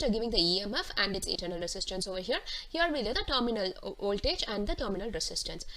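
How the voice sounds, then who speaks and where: A woman's voice: medium pitch, a bit bright. A woman teacher explaining worked exam solutions step by step, reading out questions and then walking through them. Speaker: physics teacher. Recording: clearly noisy recording with clicks.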